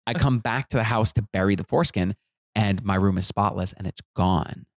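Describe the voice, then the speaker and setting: A man in his thirties, a comedian guest, telling animated jokey stories on a podcast couch into a close boom mic. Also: fairly quick, no room sound. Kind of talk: casual storytelling chat. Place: living room studio with couch.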